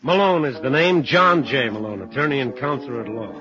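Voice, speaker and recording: An actor playing a wry, worldly lawyer-sleuth, narrating in sardonic hardboiled style for an old-time radio drama. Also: male voice, mid-range voice, expressive, brisk, lightly acted. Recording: dull old radio recording. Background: music.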